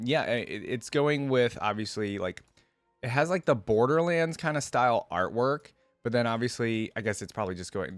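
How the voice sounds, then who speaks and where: A man's voice: on the low side, no echo. Casual man reacting and commenting, dry sound. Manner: informal, musing, thinking aloud. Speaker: YouTube reaction host.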